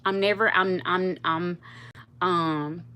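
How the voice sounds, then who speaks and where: A woman's voice: on the low side, fairly even intonation, slow, pushed and bright, slight background noise. A woman, chatting casually and rambling on a livestream with viewers. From South Louisiana, US. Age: 50s. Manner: chatty, rambling, laughs easily. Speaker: livestream host.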